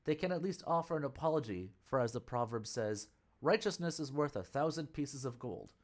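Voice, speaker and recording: A man's voice: medium pitch, lively intonation, fairly quick, lightly acted. A man voicing an old Buddhist monk master who is fussy and easily frightened, speaking in an indignant, pleading way. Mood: placating.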